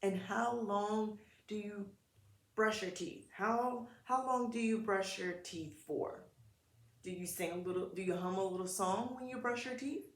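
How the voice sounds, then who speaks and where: A woman's voice: mid-range voice, measured pace. A woman reading a picture book aloud to preschool children in short, simple phrases, talking to them directly. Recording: echoey room.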